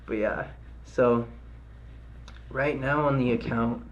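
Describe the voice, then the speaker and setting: A man's voice: mid-range voice, fairly even intonation, takes it slowly, lo-fi sound, slight background noise. A man with a light voice hosting a live trading stream, chatting casually with viewers and cheering on his trades. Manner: hyped, cheering and chanting during trades.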